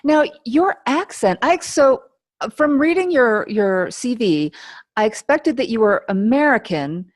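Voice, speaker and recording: A woman hosting a podcast, reading a guest introduction steadily and then asking casual, lively questions. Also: on the high side, dry recording, clean studio sound. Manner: measured reading, then laughing, animated questions. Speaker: podcast host. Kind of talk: read introduction, then casual interview chat.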